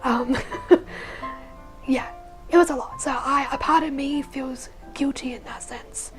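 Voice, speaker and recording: A young woman with a husky, hoarse voice, casually telling her personal story. She rambles with lots of fillers and often drops to a soft, breathy near-whisper. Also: high-pitched, fairly even intonation, slow pace, poor audio. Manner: rambling, with many fillers like "like" and "you know". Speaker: vlogger sharing her life story. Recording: music playing underneath the voice.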